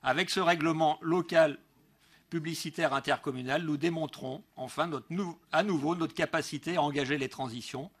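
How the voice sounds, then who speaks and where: Husky middle-aged man giving a council speech. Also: a little high, normal speed, a bit bright. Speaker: local elected councillor. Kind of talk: prepared political speech at a council meeting. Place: large council meeting room, indoors. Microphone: gooseneck desk microphone.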